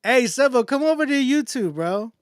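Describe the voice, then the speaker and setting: High-voiced American man hosting a livestream. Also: animated, moderate pace, smooth, no echo, clean studio sound. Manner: informal, slangy, repetitive hype talk. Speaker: livestream host of a music contest. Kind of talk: casual livestream chat.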